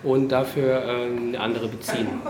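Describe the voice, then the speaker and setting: A man's voice: medium pitch, level tone, normal speed, reverberant, crowd noise. A man talking casually as a guest, tentatively checking that he has understood. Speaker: hotel guest. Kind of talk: casual conversation.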